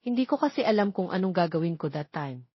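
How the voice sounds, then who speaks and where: Husky, anxious young pregnant woman, voiced by a narrator. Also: fairly low pitch, lively intonation, brisk, no room sound, lo-fi sound. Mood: worried, confessing. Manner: hesitant, pleading. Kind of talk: audiobook character dialogue.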